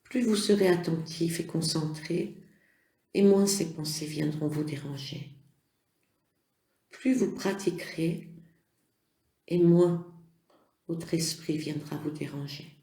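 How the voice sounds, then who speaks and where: Slow, mellow yoga nidra guide in echo. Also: medium pitch. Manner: gentle, measured instruction with pauses. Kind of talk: slow guided relaxation meditation.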